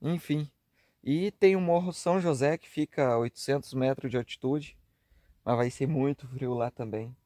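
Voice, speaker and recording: A man in his thirties from southern Brazil, with a Rio Grande do Sul accent, chatting casually for his camping vlog. He talks in a relaxed way with frequent tag questions, recorded on a camera held at arm's length at an outdoor hilltop campsite. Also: medium pitch, normal speed, no echo, clean studio sound. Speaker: travel vlogger. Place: outdoor campsite on a grassy hilltop, earlier inside a car at night.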